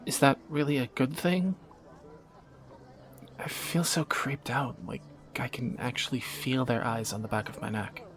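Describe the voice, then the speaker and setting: A man's voice: mid-range voice, a little hiss or hum, lightly acted. A man playing a wry, flirtatious thief on the run in a romantic audio drama, speaking in teasing, sardonic banter to a companion. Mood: uneasy, teasing.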